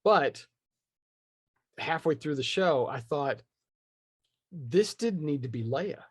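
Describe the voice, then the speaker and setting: A man's voice: a little high, expressive, slow, breathy edge, no room sound, studio quality. A man in a casual podcast chat, opinionated and rambling as he thinks aloud. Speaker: podcast speaker discussing film franchises.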